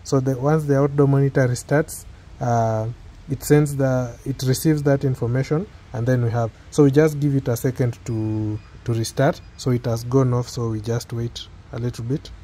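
A man with a Kenyan accent giving an unscripted step-by-step tech tutorial, speaking hesitantly with pauses and repeated phrases. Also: medium pitch, fairly even intonation, mellow. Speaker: product tutorial presenter.

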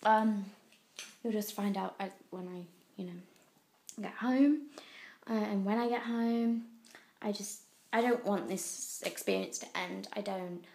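A young woman from the UK with a croaky voice, rambling through a casual video diary with lots of fillers. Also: mid-range voice, a little slow, darkish tone. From United Kingdom. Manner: rambling, chatty, many fillers and trailing asides. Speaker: vlogger. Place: indoors, abroad in Italy. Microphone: handheld phone camera.

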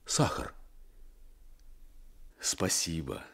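An actor playing a gentle, melancholy sailor turned convict in a radio drama, speaking in humble, folksy, weary phrases. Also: male voice, rumbling low, very animated, very slow, creaky, husky, full character voice. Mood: kindly. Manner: soft-spoken folksy servility, brief weary phrases. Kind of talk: Soviet radio drama acting.

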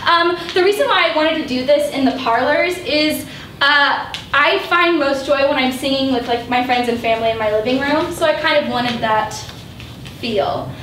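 A young woman in her twenties introducing her recital, excited and hesitant with fillers, filmed by a distant camera in a parlor room. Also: high voice, normal speed, slight background noise. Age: 20s. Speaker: student performer. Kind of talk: casual spoken introduction. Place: parlor room with grand piano. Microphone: far camera. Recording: roomy, distant room sound.

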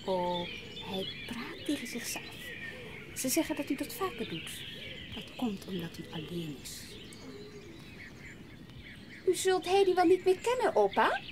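An actress playing a brisk, insistent, hard-hearted aunt in a Dutch audio drama. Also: female voice, high voice, very animated, noisy background. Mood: matter-of-fact.

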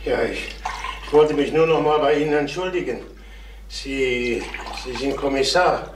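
An actor playing a jittery, evasive middle-aged salesman and father in a radio crime play, speaking haltingly with repetitions. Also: mid-range voice, roomy sound, background noise, natural voice. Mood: nervous, apologetic. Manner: halting, repeats himself. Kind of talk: German radio crime drama acting.

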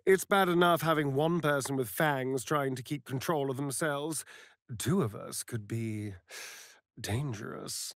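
An actor playing a sly, flamboyant, sardonic vampire rogue in a video game, with a British accent and an arch, camp, teasing drawl. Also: male voice, mid-range voice, measured pace, a bit bright, no room sound, studio quality. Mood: sly, menacing. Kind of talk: theatrical video game character acting.

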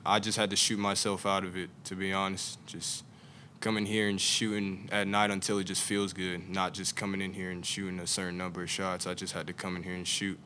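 Low-voiced young college player, hesitant. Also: male voice, sharp tone, a little hiss or hum. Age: young adult. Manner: hesitant, many "like" and "I mean" fillers. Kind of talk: postgame press conference. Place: press conference room. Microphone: table mic on a stand.

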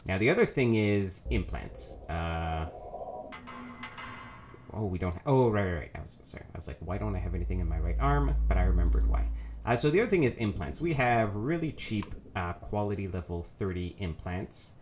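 Man with a cold, nasal, low voice, hosting a casual let's play with loose, rambling delivery over a faint noise floor. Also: lively intonation, moderate pace, a little mellow. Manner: rambling, many ums and self-corrections. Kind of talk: casual gameplay commentary.

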